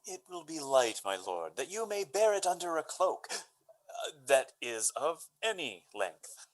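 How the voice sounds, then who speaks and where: A man playing an earnest, courteous young gentleman lover in a Shakespearean radio drama, speaking florid verse. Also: fairly high pitch, very animated, unhurried, poor audio. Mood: helpful, eager. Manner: hesitant openings, then florid Shakespearean verse.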